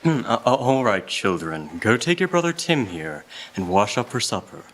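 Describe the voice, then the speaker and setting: A man playing a gentle, doting, poor Victorian father in a live radio play, with a tender, halting delivery. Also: lowish voice, sing-song. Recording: noisy live stage recording with clicks.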